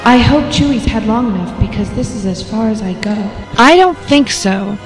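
An actress playing a proud, guarded captive princess in a space drama, defiant and self-possessed. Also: female voice, a little high, moderate pace, close to an everyday voice. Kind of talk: drama dialogue and inner monologue. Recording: long echo, faint music.

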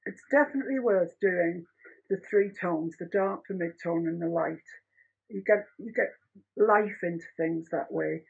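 A woman's voice: on the low side, creaky, darkish tone, husky. A middle-aged woman teaching a painting tutorial from her home studio in a chatty, rambling, practical way. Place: home art studio, indoors. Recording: muffled lo-fi home recording.

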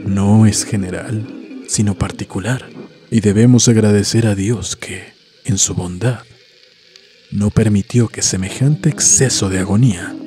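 A man narrating a scripted horror story in a solemn, deliberate, dramatic way, letting phrases trail off softly. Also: on the low side. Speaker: host and narrator of a story series. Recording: music behind the voice. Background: music.

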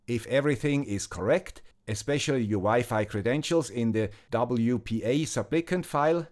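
A methodical man with a Swiss German accent walks through a tech build step by step. His pitch rises and falls a lot as he explains. It is a measured, scripted voice-over. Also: a little mellow. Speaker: electronics video host. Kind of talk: scripted tech tutorial narration.

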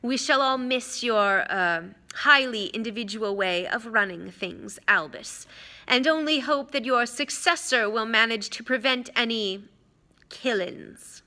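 A woman plays a sneering aristocratic villain from a storybook. He is bright and pointed in tone, with a lazy, condescending drawl and smooth false politeness. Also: on the high side, a little slow. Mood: mocking politeness.

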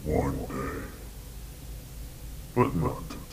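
An extremely low-voiced, malevolent being from an analog horror tape , played by a man. It talks slowly and deliberately, taunting its listener with calm menace. A clear layer of noise sits behind the voice. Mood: calm, instructing. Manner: slow, mocking, calmly menacing. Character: sinister, taunting male entity living in TV static. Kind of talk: analog horror scripted dialogue.

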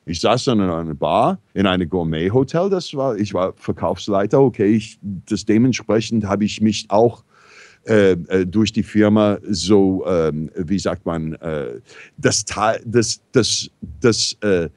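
American man telling lively, rambling anecdotes. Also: lowish voice, a little slow, no room sound, clean studio sound. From New York, USA. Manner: rambling, animated, many fillers and restarts. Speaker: talk show regular. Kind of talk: casual storytelling in a discussion.

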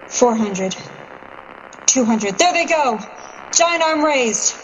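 A woman's voice: a little high, natural voice. A woman playing a tense, determined military pilot in a radio drama, reporting in clipped phrases. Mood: alarmed. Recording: dull lo-fi radio sound, hiss.

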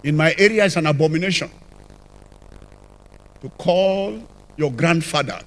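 An older man with a Nigerian accent, telling his conversion testimony as a church apostle, with sudden rises and drops in loudness, on a handheld mic in a large church. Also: fairly high pitch, measured pace. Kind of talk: testimony sermon. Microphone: handheld microphone.

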